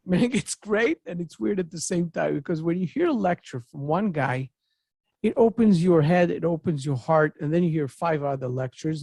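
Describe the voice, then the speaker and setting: An energetic middle-aged man hosts a podcast interview, his voice sitting fairly high and sounding close and dry into a studio mic. He talks with eager, repetitive phrasing and loose grammar. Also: vocal fry, breathy edge, dry recording. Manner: excitable, repetitive, non-native phrasing. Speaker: podcast host. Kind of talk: enthusiastic interview chat. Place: home office with bookshelves. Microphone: studio mic on boom arm.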